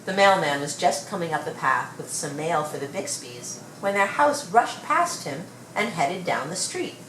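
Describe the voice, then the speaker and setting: A woman reading a children's story aloud with expressive, measured storytelling. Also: on the low side. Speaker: storybook reader. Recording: roomy, distant mic, faint noise.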